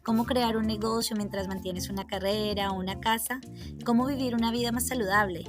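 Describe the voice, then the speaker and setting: High-voiced woman hosting a podcast. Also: fairly even intonation, fairly quick, distant mic, over music. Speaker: podcast host. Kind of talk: podcast welcome and interview.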